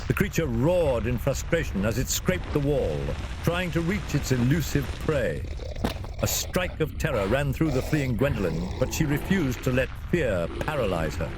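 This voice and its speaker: A man reads a fantasy adventure as its narrator. His medium-pitched voice swings expressively, with a theatrical, suspenseful delivery. Clear ambient noise runs behind him. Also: animated, normal speed. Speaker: storyteller, narrator. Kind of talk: dramatic scripted fantasy narration.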